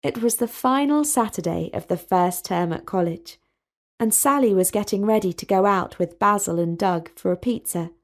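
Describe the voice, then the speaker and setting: A woman reading a scripted story as its narrator, in a measured, expressive way. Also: medium pitch.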